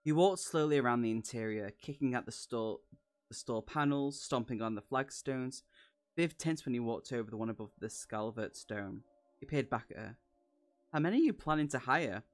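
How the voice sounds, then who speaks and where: A man's voice: mid-range voice, moderate pace. A British man reading a novel aloud on a stream, playfully stumbling over words and breaking off into chatty asides.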